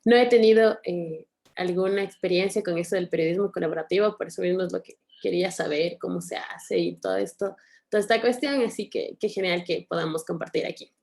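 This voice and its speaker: A woman's voice: lowish voice, fairly quick, vocal fry, a little mellow. A woman with a Quito Ecuadorian accent introducing herself in a workshop over a video call, friendly and casual. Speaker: participant. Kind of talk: self-introduction.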